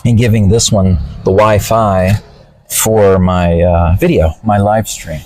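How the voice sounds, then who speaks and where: A man's voice: on the low side, steady tone, slow, mellow, no echo, distant mic. A man with a neutral American accent, talking casually and rambling, repeating words as he chats with viewers on a live stream. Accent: neutral American, Southern accent deliberately dropped. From Southern United States. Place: in a car, then inside a warm cafe. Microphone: phone mic.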